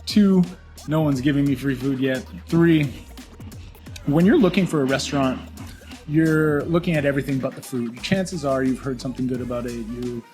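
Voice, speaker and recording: A man hosts a casual restaurant review show from a small restaurant, upbeat and promotional. His voice sits in a middle pitch. Faint music plays behind him. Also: darkish tone. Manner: upbeat, promotional, repeats words for emphasis. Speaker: podcast host.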